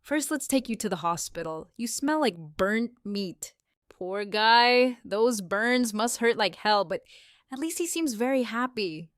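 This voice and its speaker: A woman voicing a brash, sharp-tongued mother in a comic dub, blunt and sardonic. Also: on the high side, expressive, measured pace, no room sound, studio quality. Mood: wry.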